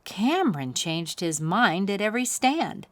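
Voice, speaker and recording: A woman reads a picture book aloud for children as the host of a story time. Her pitch is mid-level and swings up and down in a lively way, and the sound is very dry and close. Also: measured pace, no echo.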